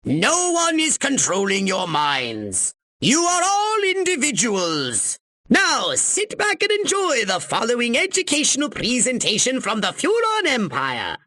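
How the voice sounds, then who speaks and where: A man voicing a pompous, short-tempered alien commander in a video game, haughty and precisely worded, with a nasal, sharp-edged delivery. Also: very high voice, huge pitch swings, unhurried, no echo, studio quality, exaggerated voice. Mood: exasperated, bombastic. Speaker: mission commander giving orders. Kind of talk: theatrical video game voice acting.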